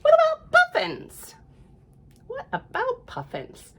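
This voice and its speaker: A woman's voice: extremely high pitch, huge pitch swings, takes it slowly, highs cut off, a little hiss or hum, voice pushed up, full character voice. A woman voicing a curious, pestering, easily fretted male frog in a picture book, full of questions and exclamations. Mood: curious, fretful. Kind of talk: children's storybook read-aloud.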